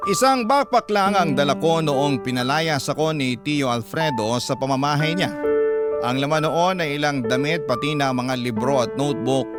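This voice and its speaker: A male host narrating a radio-style drama in first person, steady and solemn. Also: medium pitch. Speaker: storyteller host. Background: loud music.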